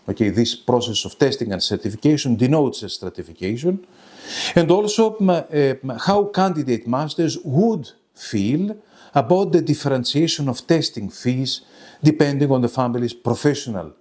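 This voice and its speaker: A man delivers a formal academic seminar as a lecturer. His pitch moves around in a lively way, while his phrasing is halting and careful. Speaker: lecturer presenting a web seminar. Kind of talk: academic lecture, scripted presentation.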